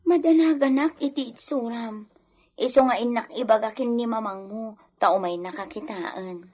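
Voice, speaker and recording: Actress as husky, high-voiced fretful maidservant. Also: female voice, moderate pace, darkish tone, lightly acted. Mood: concerned. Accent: Filipino. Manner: anxious, deferential. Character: worried, loyal female household servant, earnest and fretful. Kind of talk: Ilocano radio drama acting.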